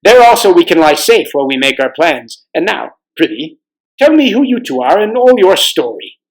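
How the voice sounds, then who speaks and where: A man's voice: on the high side, sing-song, moderate pace, rough recording. Man playing a noble, earnest storybook king. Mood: solemn, resolute. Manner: grand, archaic, courtly speech. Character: noble, earnest young king of a fantasy realm.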